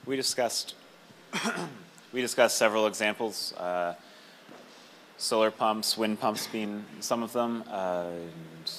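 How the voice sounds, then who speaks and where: A man's voice: fairly low pitch, fairly bright tone, slight background noise. A man reporting back for his discussion group at a workshop, speaking unscripted. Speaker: table spokesperson at a workshop.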